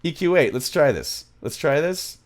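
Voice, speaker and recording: A man's voice: fairly high pitch, lively intonation, moderate pace. A middle-aged man giving a casual music production tutorial, rambling and thinking aloud as he works, recorded close on a mic in a small home studio.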